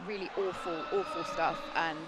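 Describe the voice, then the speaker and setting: A British woman commentating live on a roller derby game, earnest and explanatory, rising in excitement on the plays. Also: on the low side, moderate pace, over a noisy crowd, very noisy. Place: sports venue.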